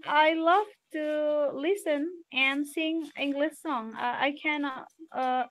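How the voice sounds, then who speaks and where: Casting: woman, 30s, Indonesian accent, high voice, non-native English speaker, relaxed conversational chat over a video call. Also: slow. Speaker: participant in an English practice chat. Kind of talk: casual chat.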